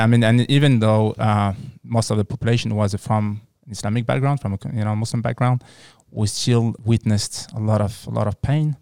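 A man from France with a French accent, answering casually as an interview guest in a halting, repetitive way. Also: lowish voice, gravelly, a little mellow, dry recording, studio quality. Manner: halting, repeats words and phrases.

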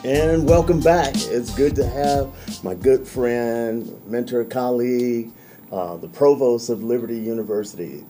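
A man hosting a podcast interview, affirming and encouraging, quick to laugh along. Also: mid-range voice, music in the background. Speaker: podcast host.

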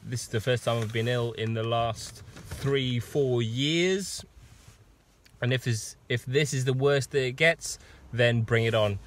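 Husky man with a sore throat, slow. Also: medium pitch, a little hiss or hum. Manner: rambling, with many ums and restarts. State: hoarse with a sore throat and cough. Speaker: fitness coach. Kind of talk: casual live video talk.

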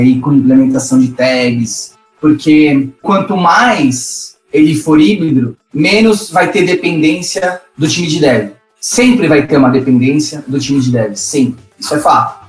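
Confident man in a roomy echo. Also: fairly high pitch. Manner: thoughtful, confident opinions. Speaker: podcast guest, digital specialist. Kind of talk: casual podcast chat.

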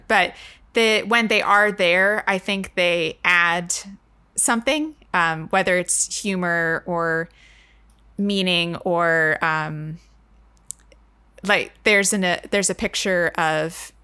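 Bright, low-pitched woman discussing books. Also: slow pace, smooth. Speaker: book discussion podcast co-host. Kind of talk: conversational book discussion.

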